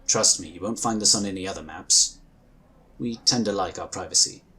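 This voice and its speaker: An actor playing a calm, courteous male psionic inquisitor, speaking formally and gently in a husky voice. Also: low-pitched, moderate pace. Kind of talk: audio drama acting.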